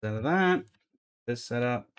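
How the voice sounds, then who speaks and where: A man's voice: mid-range voice, lively intonation, normal speed, clean tone. A man chatting casually to viewers as he hosts a live gaming stream. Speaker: livestreamer.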